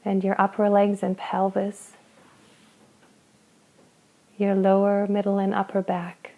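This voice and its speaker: A woman guiding a body scan meditation, speaking slowly and gently with long pauses between phrases. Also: mid-range voice, monotone, phone-quality sound. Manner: slow, soft, gentle guidance with long pauses. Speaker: meditation guide.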